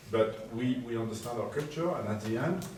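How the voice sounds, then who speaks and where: A man with a French accent, a panelist at an aviation conference, speaking haltingly with many fillers. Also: medium pitch, expressive, crowd noise. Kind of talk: panel discussion. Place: large conference hall. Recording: echoey hall, distant mic, faint ambience.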